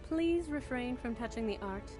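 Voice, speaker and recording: A man's voice: very high voice, lively intonation, moderate pace, a little mellow, faint music behind, pitched above the performer's own voice. A man playing a stern, formal woman assistant in a visual novel, prim, clipped and polite. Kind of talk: visual novel character voice read-aloud.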